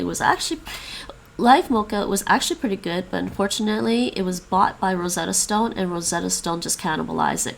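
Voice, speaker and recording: A woman's voice: on the low side, vocal fry, slight background noise. A woman who grew up with English and Chinese, chatting casually and thinking aloud as she practices languages on a stream. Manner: thinks aloud, repeats foreign phrases. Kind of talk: casual chat while studying languages.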